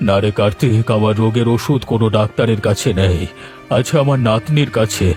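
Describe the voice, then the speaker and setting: A man's voice: fairly low pitch, a little fast, a little mellow, faint music behind, natural voice. A man voicing a sick old grandfather in a cartoon, sorrowful, longing and lamenting. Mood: weak, mournful. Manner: mournful, lamenting. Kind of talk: cartoon voice acting.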